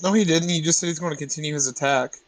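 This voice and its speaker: A man's voice: on the high side, very fast. A man chatting casually over a voice call as a player in a tabletop game. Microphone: online voice call.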